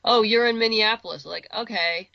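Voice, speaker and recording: A woman's voice: mid-range voice, moderate pace, gravelly, no room sound, lo-fi sound. A woman chatting casually as a podcast guest, talking in a rambling, conversational way and repeating words for emphasis. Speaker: guest, comics and audio drama creator.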